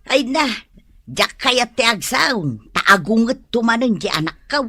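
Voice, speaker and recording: A woman's voice: on the high side, sing-song, unhurried, sharp tone, no room sound, full character voice. An actress playing a plaintive, nagging elderly mother with an Ilocano Filipino accent in a radio drama.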